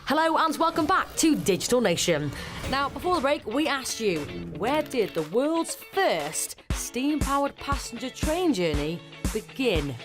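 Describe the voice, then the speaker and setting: A woman presenting a television magazine show, reading scripted links in a bright, upbeat way. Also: high voice, very animated, measured pace. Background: music bed.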